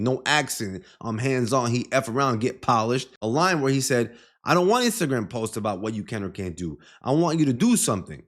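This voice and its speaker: A man giving explainer commentary in an emphatic, opinionated way, often quoting and paraphrasing lines as he goes. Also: lowish voice. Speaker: music commentator and host. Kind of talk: explainer commentary breaking down rap lyrics.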